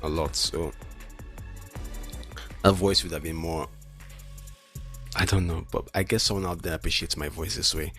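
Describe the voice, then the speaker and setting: A man's voice: low voice, fairly even intonation, moderate pace, pauses often, fairly bright tone, soft background music. A man with a lisp who stutters and restarts words, rambling casually and self-deprecatingly as a streamer. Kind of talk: casual solo chat while testing mic settings.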